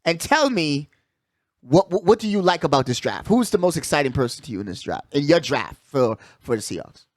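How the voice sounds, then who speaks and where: A man's voice: on the high side, big pitch swings, brisk, dry recording, studio quality. A man hosting a casual sports talk show, giving emphatic opinions with repeated phrases and slangy asides. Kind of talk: casual sports debate and commentary.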